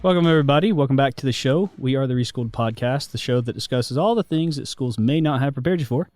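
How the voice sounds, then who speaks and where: A man's voice: medium pitch, fast, darkish tone, faint music behind. A man hosting a podcast who talks in a friendly, easygoing way, often restarting his sentences. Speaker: podcast host. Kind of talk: casual podcast chat.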